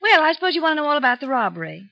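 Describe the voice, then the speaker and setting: A woman's voice: sits high, very animated, racing, creaky, sharp tone, exaggerated voice. A woman playing a gracious, effusive young heiress in a 1950s radio drama. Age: young adult. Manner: effusive, ladylike. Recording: dull, noisy old radio recording.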